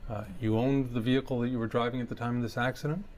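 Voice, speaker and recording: Lawyer, played by a male actor, quick delivery, procedural and probing, legal dramatization. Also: medium pitch, fairly even intonation, darkish tone, lightly acted. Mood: persistent, formal. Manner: formal, procedural, persistent. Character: brisk, probing male defence lawyer questioning a witness. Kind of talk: legal examination in a training dramatization.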